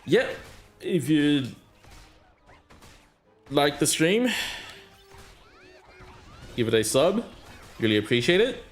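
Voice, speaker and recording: A man's voice: fairly high pitch, big pitch swings, takes it slowly, long pauses, soft background music. A man streaming a mobile game, chatting casually and answering his chat in a rambling way. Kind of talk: casual live-stream chat while gaming.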